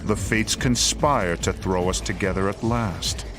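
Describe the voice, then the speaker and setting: An actor playing a smug, pompous rival assassin in a video game, taunting in florid, theatrical phrases. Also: male voice, sits low, a little slow. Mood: mocking, menacing. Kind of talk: dramatic video game voice acting. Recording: music behind the voice.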